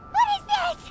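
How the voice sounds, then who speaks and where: A woman voicing a small, vain, excitable childlike fairy companion in a video game, squeaky and dramatic. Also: heavy background noise, exaggerated voice. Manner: refers to self in third person, dramatic. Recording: music behind the voice.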